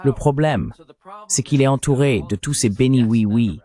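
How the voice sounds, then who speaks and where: A man's voice: mid-range voice, measured pace, mellow. A man's AI dubbing voice giving a psychologist's answers in an interview, as a translated voice-over.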